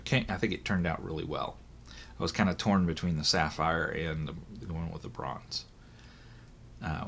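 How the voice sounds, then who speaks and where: Hoarse, gravelly low-voiced man. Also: fairly bright tone, a little hiss or hum. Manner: chatty, thinks aloud with fillers and self-corrections. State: hoarse, voice recovering from illness. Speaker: watch reviewer. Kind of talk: casual unboxing commentary.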